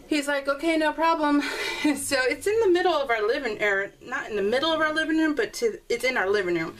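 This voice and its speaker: Middle-aged woman, chatty and giggly, fairly high voice, faint room noise. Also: rough recording. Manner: chatty, giggly, animated retelling. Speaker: scrapbooking vlogger. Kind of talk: casual storytelling while crafting.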